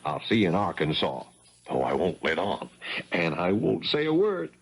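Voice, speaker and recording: An actor playing a jittery, folksy American thief on the run in a 1970s radio drama. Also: male voice, low-pitched, normal speed, breathy edge, heavily acted. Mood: folksy, grateful. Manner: folksy rural American speech, excitable. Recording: muffled vintage radio broadcast.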